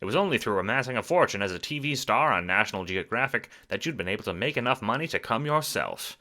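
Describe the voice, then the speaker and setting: A man giving dramatic, wry scripted narration for an audio drama, theatrical and expressive. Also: on the low side, fast.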